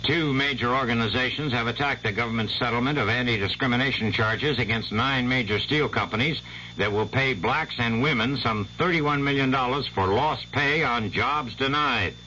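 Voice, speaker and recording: Bright-voiced male network newscaster. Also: mid-range voice. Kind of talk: hourly news broadcast. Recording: muffled old radio broadcast with faint hiss.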